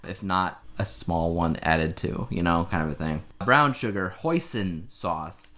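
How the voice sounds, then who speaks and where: A man with a low-pitched voice talks casually as a podcast co-host. He lays out his opinions and explains them in an everyday, conversational style. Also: unhurried, fairly bright tone. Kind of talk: casual chat.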